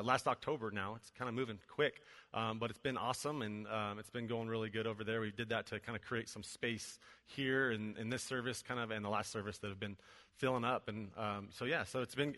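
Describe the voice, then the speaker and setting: A man's voice: medium pitch, sharp tone. A man in his forties preaching a casual, joking church sermon full of fillers, heard in a large church auditorium. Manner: chatty and joking, many fillers. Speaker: venue director and preacher. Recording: long hall reverb.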